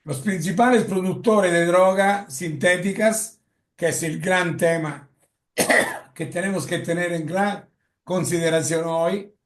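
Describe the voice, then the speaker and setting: A man with an Italian accent gives a lecture in Spanish, often halting with fillers and correcting himself. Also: sits high. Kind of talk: lecture presentation. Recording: echoey room.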